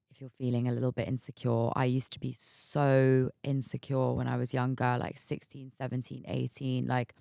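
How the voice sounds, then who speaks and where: A young woman in her mid-twenties hosting a podcast in a raspy, hungover voice that sits very low and crackles with vocal fry. She chats candidly and laughs easily. The sound is dry and close, with no room echo. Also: a little mellow. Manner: chatty and candid, laughs often, repeats words. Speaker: podcast host. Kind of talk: casual solo podcast chat.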